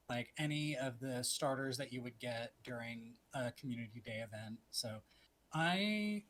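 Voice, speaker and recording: A man's voice: medium pitch, a little hiss or hum. Chatty man in his twenties. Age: 20s. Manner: chatty, laughs easily, trails off with fillers. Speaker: podcast co-host. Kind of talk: casual podcast chat.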